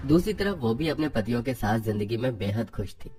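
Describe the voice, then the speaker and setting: A man's voice: medium pitch, lively intonation, fairly quick, darkish tone, slight background noise. A man narrating a scripted story as the narrator.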